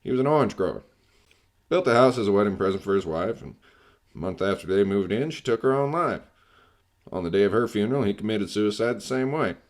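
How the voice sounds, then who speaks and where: A man's voice: on the low side, expressive, brisk. A man playing a matter-of-fact real estate agent who dismisses ghosts, telling things in a plain, folksy way in radio drama style.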